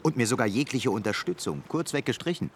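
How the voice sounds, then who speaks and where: A man's voice: mid-range voice, animated, fast, gravelly. Ambitious scrappy young guy in a radio drama, a bit of background noise. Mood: determined. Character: ambitious, combative young man from poor background. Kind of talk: radio play acting.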